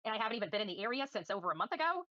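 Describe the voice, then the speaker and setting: A woman's voice: medium pitch, very animated, racing, bright, forceful tone, no echo, highs cut off. A woman livestreaming commentary and answering viewers in a combative, defiant, scornful manner. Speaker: livestream host.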